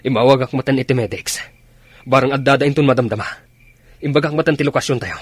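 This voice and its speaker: Husky, serious army officer played by a man. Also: mid-range voice, fast talker, dry recording, natural voice. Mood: concerned. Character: commanding army officer, grave, concerned for men. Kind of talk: Ilocano radio drama acting.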